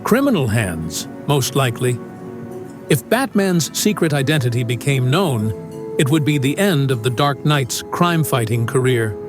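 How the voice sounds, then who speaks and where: A man's voice: mid-range voice, sing-song, moderate pace. A man delivering dramatic scripted narration as the narrator of an audio drama. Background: music.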